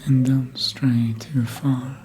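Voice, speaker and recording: A woman playing a patient, possessive elder vampire mentor in a hushed, measured ASMR roleplay, mostly in whispers. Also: rumbling low, little pitch movement, low-quality recording. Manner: hushed, measured, lingering pauses.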